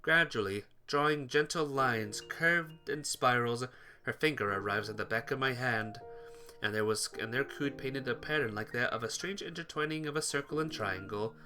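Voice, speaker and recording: A man's voice: medium pitch, moderate pace, sharp tone, faint music behind. A man reading a visual novel aloud for a playthrough, narrating and voicing the hero's lines. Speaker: let's-play narrator.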